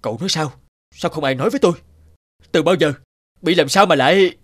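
An actor playing a stern, stubborn middle-aged village chief in a cartoon, gruff and commanding. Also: male voice, fairly high pitch, quick pace, a bit bright, dry recording. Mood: shocked. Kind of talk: cartoon dub acting. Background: background music.

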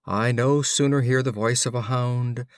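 A man voicing a formidable old stag who is candid about his fears, in a grandly formal, deliberate storybook manner. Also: mid-range voice, fairly even intonation, no echo, studio quality, lightly acted. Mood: rueful, earnest.

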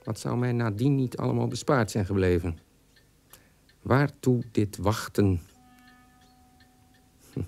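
An actor in a radio play monologue, playing a bitter, self-loathing son of a war criminal who broods and questions himself. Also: male voice, medium pitch, takes it slowly, vocal fry, long pauses, a little mellow, soft background music. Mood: dark self-reproach.

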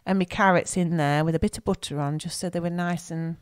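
A woman's voice: lowish voice, steady tone, fairly quick, clean tone. A woman with an English accent co-hosting a podcast, chatting in a rambling way, searching for words while she has a cold. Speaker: podcast co-host. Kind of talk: casual chat.

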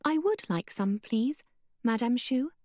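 A woman voicing an eager, determined young woman, her voice pitched up, breathless and urgent. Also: lively intonation, measured pace, darkish tone, no echo, clean studio sound.

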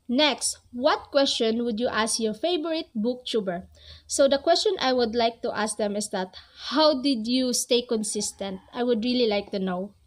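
Young woman, slightly high voice, English not native, earnest, close camera, small room. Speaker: book vlogger. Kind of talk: casual talk to camera. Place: small cozy bedroom with string lights. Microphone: camera at close range.

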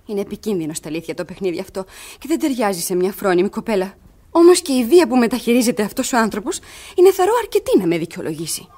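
Soft-toned young maiden. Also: female voice, medium pitch, very animated. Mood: furtive, pleading. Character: prudent but scheming young maiden in love. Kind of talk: classic Greek radio comedy acting.

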